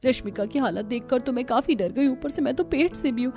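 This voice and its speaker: Brief: actress playing an admonishing cartoon sister-in-law, preachy grown woman, higher voice over faint music. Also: lively intonation, fairly quick, darkish tone. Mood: alarmed. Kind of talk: Hindi cartoon story voice acting.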